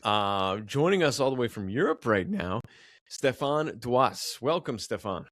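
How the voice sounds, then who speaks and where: A man's voice: medium pitch, sing-song, a little slow, fairly bright tone, dry recording, studio quality. A man hosting a podcast, upbeat and enthusiastic, often repeating words as he goes. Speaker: podcast host. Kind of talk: podcast interview.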